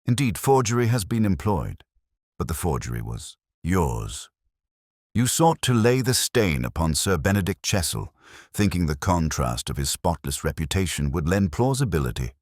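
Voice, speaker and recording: A shrewd storybook detective, as performed by a male narrator, speaks in an extremely low voice with swooping inflection. He is formal and calmly relentless, laying out each point with incisive care. The sound is close and dry. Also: moderate pace, no room sound. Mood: stern. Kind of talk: dramatised audiobook mystery.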